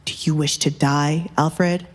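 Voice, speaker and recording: A woman voicing a stern, commanding young duke with a clipped, deadpan manner in a dramatized audio romance. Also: sits low, slow, voice pushed down. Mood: cold, amused. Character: stern, commanding young duke, coolly teasing his butler.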